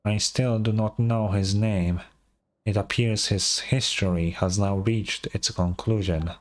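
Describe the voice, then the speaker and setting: A man voicing a calm, formal guide character in a fantasy video game. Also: fairly low pitch, measured pace, lightly acted. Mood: solemn.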